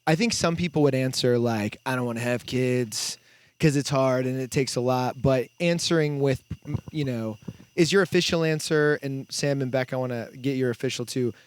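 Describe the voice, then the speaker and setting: A man with a mid-range voice hosts a relaxed podcast chat. He explains his points earnestly and at length, in everyday language. The sound is close and dry. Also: mid-range voice, moderate pace, clean tone, no echo. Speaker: podcast host. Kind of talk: casual podcast conversation.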